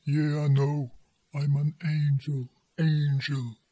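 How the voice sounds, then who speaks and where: A lazy, sullen young woman with a deliberately manly voice, as a man puts her on. She drawls slowly in a dark, husky tone with a mocking edge. The sound is dry and close. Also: on the high side, little pitch movement, no room sound, voice pushed down. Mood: dreamy.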